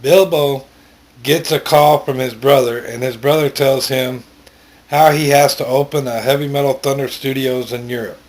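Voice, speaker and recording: A man's voice: mid-range voice, fairly even intonation, normal speed. Man reading a story aloud. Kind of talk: amateur story narration, read aloud.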